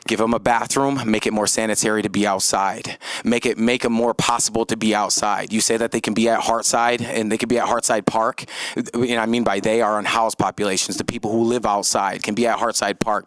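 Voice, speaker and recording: An American man with a fairly low voice addresses a meeting as a local activist. He is impassioned and emphatic, hammering phrases by repeating them. The sound is close and dry. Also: fairly bright tone, dry recording. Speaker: activist and local organizer at public comment. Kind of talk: impassioned public comment speech. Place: city commission meeting room.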